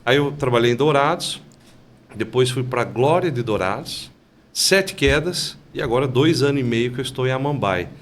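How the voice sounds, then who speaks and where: A man with a mid-range voice tells his life story calmly on a podcast. Faint room ambience sits behind him. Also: mid-range voice, normal speed, slight background noise. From Santa Catarina, Brazil. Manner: calm, storytelling, frequent "né?" tags. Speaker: bank branch manager, guest. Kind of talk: casual podcast interview.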